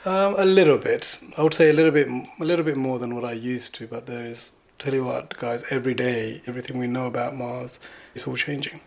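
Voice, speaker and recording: A middle-aged man from Bangladesh chats easily in an interview, his voice gravelly with a husky edge. He talks in a relaxed way and laughs often. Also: medium pitch, brisk, few pauses. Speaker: interviewee, planetary science student. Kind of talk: casual interview.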